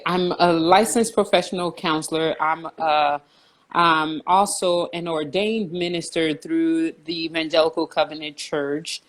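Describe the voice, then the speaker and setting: A woman in her forties from New Jersey, a counselor speaking in a measured, earnest way with frequent ums. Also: low-pitched. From New Jersey, USA. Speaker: guest counselor. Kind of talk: casual video chat interview.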